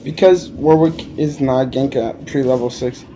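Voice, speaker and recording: A man's voice: medium pitch, steady tone, measured pace, dark tone, dull audio, slight background noise. A man from Alberta with a Quebec French-tinged accent, rambling through gameplay commentary with many hesitations. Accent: Quebec French-tinged English. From Alberta, Canada. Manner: rambling, hesitant, lots of uh fillers. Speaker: gamer.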